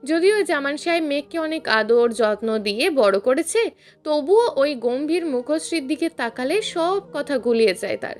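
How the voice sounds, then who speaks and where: A woman's voice: high voice, lively intonation, normal speed, soft background music. A woman reading a scripted dramatic story as its narrator.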